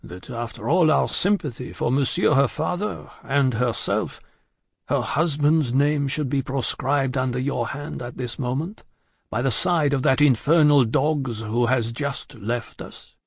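A man voicing an anxious French tavern-keeper in an audiobook, speaking low and husky in a hesitant, pleading manner. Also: animated, measured pace, no echo, clean studio sound, exaggerated voice.

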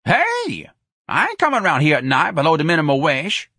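High, swooping bar porter. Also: male voice, huge pitch swings, sharp tone, husky, dry recording, clean studio sound, exaggerated voice. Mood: indignant. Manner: drawn-out exclamations, slangy grumbling. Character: sly, put-upon young Southern male bar porter, grumbling and defiant.

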